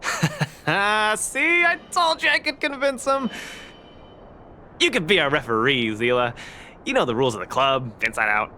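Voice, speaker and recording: A man's voice: fairly high pitch, expressive, unhurried, pauses often, pushed and bright, a little hiss or hum, heavily acted. An actor playing a cheerful, cocky, earnest young male fighter in a video game, with an upbeat, breezy, eager manner. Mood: playful.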